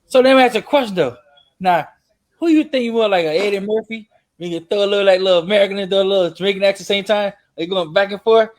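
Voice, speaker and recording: A man co-hosting a podcast, telling stories in an animated way and laughing through them. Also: high voice, fast talker. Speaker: podcast co-host. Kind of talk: casual storytelling.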